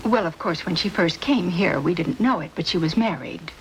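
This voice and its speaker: A woman's voice: fairly low pitch, lively intonation, normal speed, a little hiss or hum, poor audio. An actress playing a prim, fussy middle-aged woman who keeps a boarding house, in a 1950s radio drama.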